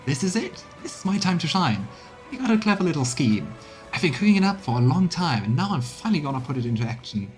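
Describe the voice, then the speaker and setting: A man's voice: a little high, sing-song, fast talker, soft background music. A man voicing an eager, blunt, scheming one-eyed quartermaster in a fantasy game, with folksy, salesmanlike talk. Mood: gleeful scheming.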